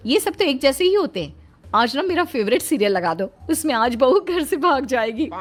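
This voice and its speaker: A woman's voice: high-pitched, big pitch swings, normal speed, pitched above the performer's own voice. A woman voicing squabbling young daughters-in-law, indignant and whiny, in a cartoon moral story. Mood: insistent. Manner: bickering, whiny protest.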